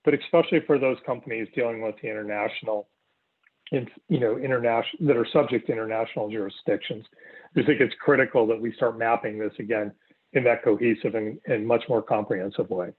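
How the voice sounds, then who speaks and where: A man's voice: mid-range voice, steady tone, a little fast, slightly rough. A man who is losing his voice, giving a hesitant panel answer in a webinar with frequent restarts. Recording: tinny phone-like line.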